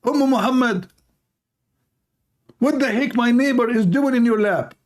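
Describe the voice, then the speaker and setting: A man voicing a mock husband who demands to know why the neighbor is in his wife's lap, in a raised, squeaky tone. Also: unhurried. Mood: indignant. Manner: squeaky, exaggerated disbelief. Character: indignant husband questioning his wife, mocking.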